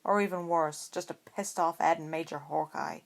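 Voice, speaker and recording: A woman's voice: low voice, fairly even intonation, moderate pace, creaky. A woman voicing a stubborn, sarcastic schoolboy wizard with dry retorts in an audiobook-style story. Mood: defensive, casual.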